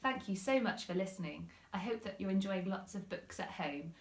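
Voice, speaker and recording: A woman reading a children's story expressively, with deliberate phrasing, in a roomy-sounding recording. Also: medium pitch, lively intonation. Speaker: primary school teacher reading stories.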